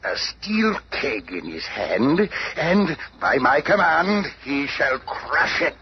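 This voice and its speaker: A menacing, theatrical villainous puppet master. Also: male voice, a little high, very animated, takes it slowly. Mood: commanding. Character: megalomaniac villainous puppet master, middle-aged stepfather, scheming and domineering. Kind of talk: radio drama acting. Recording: noisy, muffled old radio broadcast.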